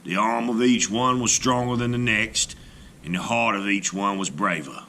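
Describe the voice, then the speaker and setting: A man narrating a folktale in the first person as a storyteller, in a deliberate, expressive, dramatic way. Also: lowish voice, a little hiss or hum. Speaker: storyteller reading aloud.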